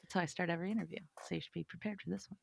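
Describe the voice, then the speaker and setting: A woman's voice: lowish voice, fairly even intonation, rapid-fire, vocal fry, a little hiss or hum. A woman hosting a podcast interview in a casual, joking way, full of "like" and teasing asides. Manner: jokey, teasing, lots of "like". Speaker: podcast host.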